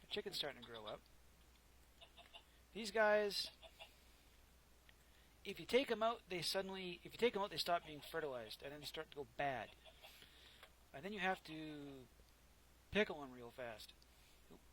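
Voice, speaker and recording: A soft-spoken man casually narrating his own gameplay, rambling and correcting himself as he goes, close to a desk microphone. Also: on the high side, animated, moderate pace, pauses often, slight background noise, rough recording. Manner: rambling, self-correcting, chatty. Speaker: gameplay commentator. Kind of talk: casual let's play commentary.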